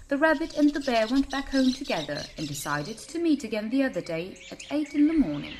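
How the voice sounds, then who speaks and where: A woman storyteller narrating a scripted bedtime tale for children in an expressive manner. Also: fairly high pitch, a little hiss or hum.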